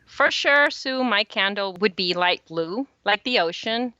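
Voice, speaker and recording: A woman from El Salvador whose first language is Spanish speaks as an interview guest. Her voice is bright and clear, her delivery earnest and upbeat. The audio is dry and a little lo-fi. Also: medium pitch, expressive, measured pace, dry recording. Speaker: podcast guest, small business owner. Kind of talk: conversational interview answers. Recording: slightly dull, lo-fi audio.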